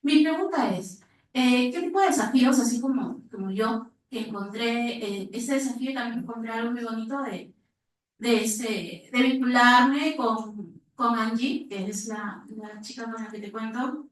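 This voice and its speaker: A woman's voice: a little high, measured pace. A woman with a Peruvian accent speaking informally from the audience at a conference, telling a story and asking a question. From Peru. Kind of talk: spontaneous anecdote and question to panelists. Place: reverberant indoor conference room. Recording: very echoey room, distant microphone.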